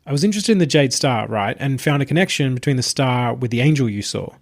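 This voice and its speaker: A man reads as a young male student in an audio story. He sounds earnest and tentative, with a raised pitch. His phrasing is casual and slightly rambling. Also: animated, fast talker, gravelly, no room sound, clean studio sound. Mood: eager, tentative.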